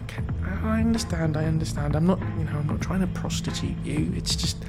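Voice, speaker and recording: A man's voice: on the high side, fast, gravelly. A man playing a stressed, apologetic middle-aged salon manager in an improvised comic audio drama, hesitant and stammering. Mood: anxious, pleading. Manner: hesitant, stammering, overly polite. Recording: music behind the voice.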